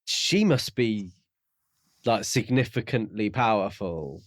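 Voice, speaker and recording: A man's voice: on the low side, lively intonation, takes it slowly, no echo, clean studio sound. A man co-hosting a podcast, casually talking through a novel in an explanatory, tentative way. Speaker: book podcast co-host.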